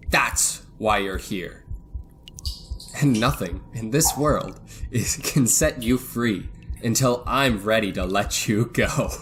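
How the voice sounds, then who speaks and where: An actor playing a bitter, vengeful financier in a radio thriller, cold and deliberate with surges of excitement. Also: male voice, mid-range voice, noisy background. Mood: menacing. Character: bitter vengeful self-made financier holding his captives. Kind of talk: old-time radio thriller acting.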